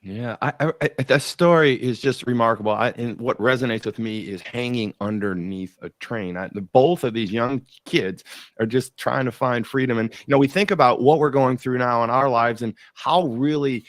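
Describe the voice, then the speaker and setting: A man's voice: medium pitch, normal speed, no room sound. A man hosting an interview podcast, talking enthusiastically and rambling with many restarts. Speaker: podcast host. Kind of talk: interview host, casual chat.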